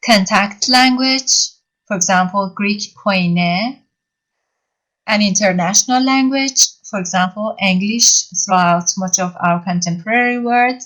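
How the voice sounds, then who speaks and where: A woman whose first language is Persian, giving an online lecture in English, halting and filling pauses often as she explains step by step. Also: medium pitch, a bit bright, poor audio. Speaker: university lecturer.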